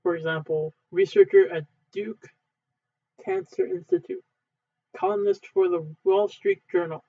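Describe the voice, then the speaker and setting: A man reading a textbook aloud as an amateur, going phrase by phrase in a halting way with occasional stumbles. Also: high voice, sing-song, dark tone. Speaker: amateur reader, channel owner. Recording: muffled, phone-like narrowband sound.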